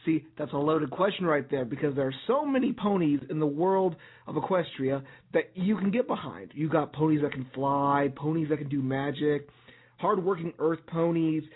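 A man hosting an internet radio show as DJ, hoarse and raspy, talking in an upbeat promotional way with some fillers. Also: on the high side. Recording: tinny phone-like line.

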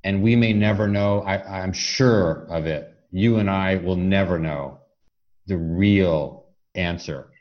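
A man with a deep, low voice hosts a reflective interview. He talks conversationally and deliberately, leaving pauses between phrases. The recording is somewhat dull and lo-fi. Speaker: interview host. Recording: dull, band-limited lo-fi audio.

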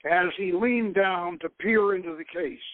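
A high-pitched male host delivers a dramatic narration of an adventure novel. He reads in a halting way with occasional stumbles. The sound is dull and lo-fi, with a dry, close acoustic. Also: no echo. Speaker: host reading own novel aloud. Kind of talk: dramatic audiobook-style narration.

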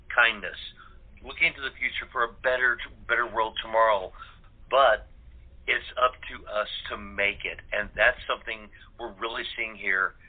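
A man speaking as an interview guest, casual and earnest, rambling and often repeating words. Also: lowish voice. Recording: tinny phone-like line.

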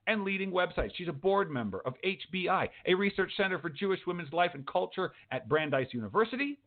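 Chatty male host on phone-like audio. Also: on the high side. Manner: rambling, answers his own remarks. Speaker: host of a writing talk show. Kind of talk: casual, chatty radio hosting.

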